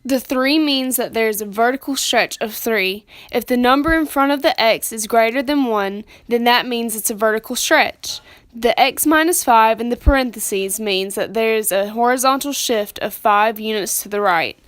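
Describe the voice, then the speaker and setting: A woman's voice: on the high side, normal speed, a bit bright, no room sound. A young woman giving scripted lesson narration as a student presenter, explaining plainly and methodically.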